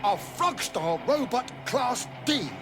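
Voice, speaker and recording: High, animated urgent ally. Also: male voice, takes it slowly, exaggerated voice. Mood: sardonic, tense. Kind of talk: science fiction radio comedy acting. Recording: dramatic sound effects and music behind.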